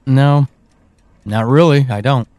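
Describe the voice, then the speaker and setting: A man playing a teasing, evasive confidant in an audio drama, speaking slowly and deliberately with dramatic pauses. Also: medium pitch, darkish tone, a little hiss or hum, close to an everyday voice. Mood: reassuring, cautious. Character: teasing, evasive confidant guiding someone through dark memories. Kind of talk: audio drama acting, intimate monologue and dialogue.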